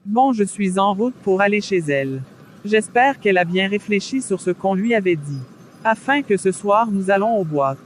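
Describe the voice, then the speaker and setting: A performer playing a worldly but soft-hearted young woman who loves parties, in a scripted animated drama. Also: mid-range voice, moderate pace, a little hiss or hum, natural voice. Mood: casual, friendly. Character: worldly young female party-goer, wavering, soft-hearted.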